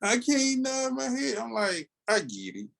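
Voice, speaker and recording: A man's voice: extremely high pitch, huge pitch swings, a little slow, gravelly, fairly bright tone. A man co-hosting a podcast in casual chat, with a laid-back, slangy, colloquial way of talking. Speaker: podcast co-host.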